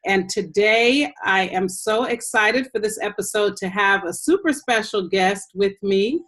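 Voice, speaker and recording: A woman hosting a podcast as a health coach, talking in an enthusiastic, unhurried way. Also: mid-range voice, fairly bright tone. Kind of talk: casual podcast chat.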